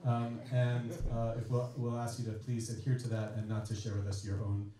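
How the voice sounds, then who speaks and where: Mellow-voiced man moderating a panel. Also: medium pitch, monotone, slight background noise. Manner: halting, many fillers. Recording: echoey hall, far from mic.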